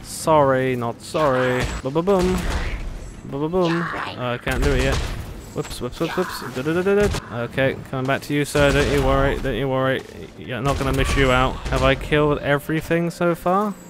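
A man's voice: mid-range voice, moderate pace, background noise. A man giving casual gameplay commentary with playful, sardonic asides and bits of sing-song babble. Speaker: gamer commentating a playthrough. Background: game music.

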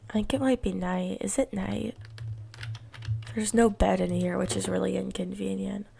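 A woman's voice: mid-range voice, steady tone, moderate pace, creaky, mellow, a little hiss or hum. A young woman streaming a block-building game, muttering casual commentary to herself while getting over being sick. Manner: mumbling self-talk. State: recovering from illness.

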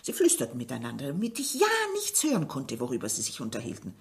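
A woman's voice: extremely low pitch, huge pitch swings, fast, vocal fry, darkish tone, exaggerated voice. An actress playing a middle-aged, complaining village mother in a German radio drama, defensive and aggrieved. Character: strict, bitter, complaining French village widow and mother.

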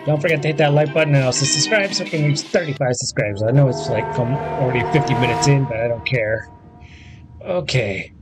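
A man's voice: mid-range voice, slight background noise. A man in his thirties giving casual, offhand and rambling commentary as he plays a video game. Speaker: gaming video host. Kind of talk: casual let's play commentary. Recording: faint game music behind. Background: video game audio.

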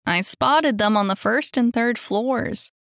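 A woman's voice: a little high, takes it slowly, creaky, a bit bright, no room sound, clean studio sound, voice pushed up, heavily acted. Lady doing a cheeky smug kid boy in a story, teasing, laid back, slangy. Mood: brisk, bossy. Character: cocky, teasing young boy, a mischievous wisecracker.

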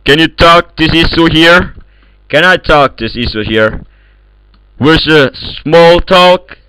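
A man's voice: fairly high pitch, slow, sharp tone, clear, resonant tone, dry recording, rough recording. A man with an Eastern European accent trolling other players in game voice chat, boastful and in broken English, over a headset. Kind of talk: prank call banter in game voice chat.

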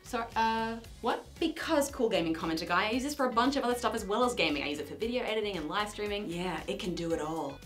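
Lively, chatty woman gaming at her desk. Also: medium pitch, fast talker, few pauses, soft background music. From New Zealand. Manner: chatty, self-mocking, exclaims at the game. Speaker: gaming video host. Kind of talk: casual let's-play commentary. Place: small decorated room indoors.

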